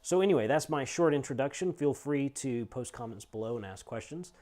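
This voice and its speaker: A man's voice: mid-range voice, expressive, fairly quick, darkish tone. A middle-aged man, a teacher and pastor, introducing himself to students in an informal, conversational, explanatory way. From United States and Scotland. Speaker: seminary teacher and missions pastor. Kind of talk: casual course introduction to students.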